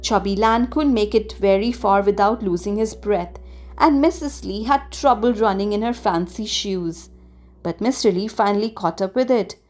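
A woman narrating a scripted children's story with expressive, deliberate phrasing. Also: mid-range voice. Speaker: storybook reader. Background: music.

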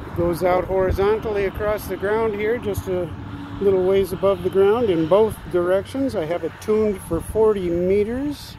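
A man's voice: high-pitched, moderate pace. A man, chatting casually and folksily to camera as he explains his radio hobby outdoors. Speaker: ham radio hobbyist vlogger. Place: outdoors in a residential front yard. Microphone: phone at arm's length. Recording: outdoor background noise.

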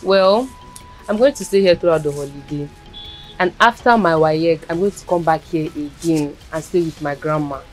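An actress playing a devoted young Nigerian granddaughter, earnest and respectful. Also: female voice, low voice, normal speed, soft background music, lightly acted. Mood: calm.

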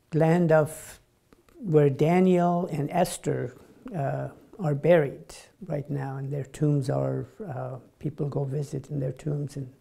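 Gravelly older man. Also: fairly high pitch. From Iran. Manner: earnest, animated when recounting, halting with fillers. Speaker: Pathfinder director. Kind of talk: personal storytelling interview. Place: indoor room with armchair and lamp.

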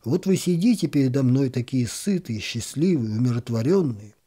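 A man's voice: mid-range voice, expressive, normal speed, warm tone, slightly rough. A man voicing an earnest, naive male office worker in a short story, explaining himself.

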